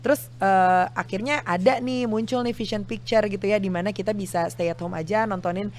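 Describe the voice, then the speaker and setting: Casting: young female podcast host, medium-pitched voice, informal and chatty. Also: steady tone, brisk, a little hiss or hum. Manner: informal, chatty, with frequent backchannels. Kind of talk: casual chatty interview.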